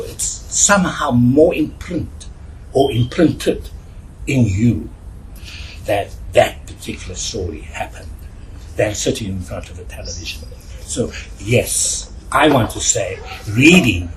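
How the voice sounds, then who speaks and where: A man with a South African accent speaking as a children's storyteller in an interview, earnest and halting, repeating words for emphasis. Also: lowish voice. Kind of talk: reflective interview with animated storytelling. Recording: noisy, distant microphone.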